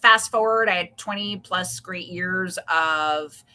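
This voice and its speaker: A woman in her forties answering interview questions as a career strategist, relaxed and conversational. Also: fairly low pitch, takes it slowly.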